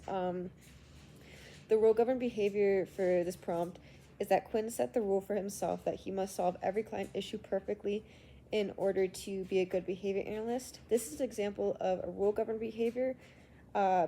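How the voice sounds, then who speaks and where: A woman's voice: medium pitch, mellow, slight background noise, low-quality recording. A sick woman with a congested voice, recording her class assignment as a student and reading prepared answers with frequent hesitations.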